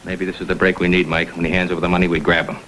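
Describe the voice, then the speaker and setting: Hard-nosed lieutenant, American, blunt and forceful, lowish pitch, vintage TV crime drama. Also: male voice, little pitch movement, racing, slight background noise, low-quality recording. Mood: shrewd, terse. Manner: blunt, terse, world-weary. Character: hard-nosed, sceptical male police lieutenant.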